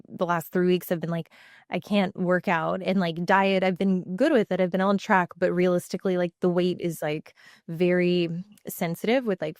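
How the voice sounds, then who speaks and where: A woman's voice: on the low side, a little mellow, no room sound, studio quality. A woman hosting a podcast and coaching athletes, chatty and full of fillers, still congested after weeks of being sick. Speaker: podcast host, bodybuilding coach. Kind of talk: casual podcast conversation.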